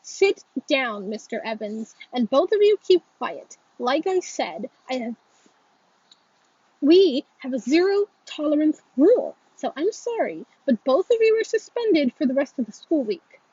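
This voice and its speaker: Woman acting as a stern school principal, high-voiced. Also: sing-song, unhurried, mellow, dry recording, highs cut off. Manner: firm, authoritative. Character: stern, formal female school principal, reproachful.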